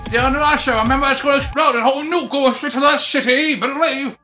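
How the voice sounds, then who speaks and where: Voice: high-pitched, quick pace, bright, forceful tone, soft background music, pitched above the performer's own voice. An amateur dubber playing an urgent, bossy commander on a video call, emphatic and silly.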